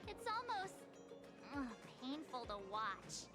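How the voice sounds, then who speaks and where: A woman playing a young female traveler in a video game, expressive and drily polite. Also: high voice, takes it slowly, music in the background, full character voice. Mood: exasperated.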